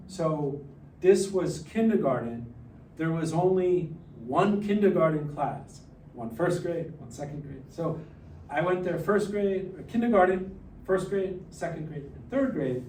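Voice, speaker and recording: Man, forties, from Hawaii; unhurried, measured self-introduction with plenty of pauses; sounds a little distant in a reverberant room. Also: on the high side, animated, a little mellow, a little hiss or hum. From Hawaii, raised partly in New Jersey. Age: 40s. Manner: slow and careful, pausing often with "uh" and "so". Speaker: presenter introducing himself to a class. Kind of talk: slow, deliberate self-introduction with slides. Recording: echoey room, voice a bit far from the mic, faint music.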